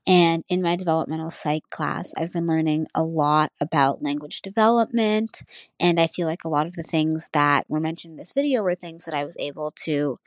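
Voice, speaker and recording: Young adult woman, native Nepali speaker, low voice, musing student reflection, dry close recording. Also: normal speed, no echo. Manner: thoughtful, searching, full of "um" and "like". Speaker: college student. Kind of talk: casual spoken class reflection.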